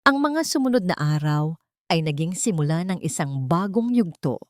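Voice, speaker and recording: Low-voiced woman narrating a story. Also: expressive, normal speed, no echo, clean studio sound. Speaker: audiobook narrator. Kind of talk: scripted dramatic story narration.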